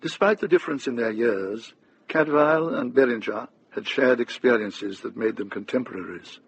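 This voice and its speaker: Gravelly, husky male storyteller. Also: medium pitch, moderate pace, rough recording. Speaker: narrator. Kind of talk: scripted storytelling narration for radio drama.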